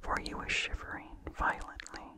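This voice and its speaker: A person reading a vintage horror story as ASMR in a soft, hushed, deliberate whisper with no voice behind it. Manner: slow, hushed, deliberate delivery. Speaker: narrator.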